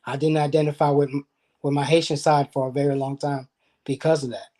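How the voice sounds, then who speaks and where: A man's voice: a little high, flat delivery, brisk, warm tone. A man as a guest in a casual discussion, speaking earnestly and restarting some phrases.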